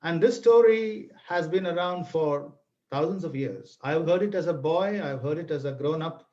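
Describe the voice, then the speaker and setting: A middle-aged man with an Indian accent and Tamil as his first language, presenting and narrating in a deliberate, theatrical storytelling style with emphatic repetition. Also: a little high, warm tone. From Tamil Nadu, India. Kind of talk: theatrical read-aloud storytelling in an online lesson. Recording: dull, lo-fi online webinar audio.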